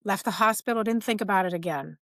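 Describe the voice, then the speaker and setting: A woman's voice: mid-range voice, fast talker, fairly bright tone, no room sound, clean studio sound. A middle-aged woman hosting a podcast, candidly telling a personal story in casual conversation and acting out quoted dialogue. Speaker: podcast host.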